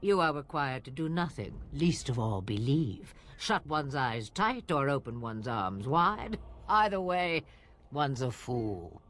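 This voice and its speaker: A woman's voice: a bass voice, big pitch swings, takes it slowly, slight background noise, heavily acted. An actress playing a sly old swamp witch who talks in teasing riddles. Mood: amused. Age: older.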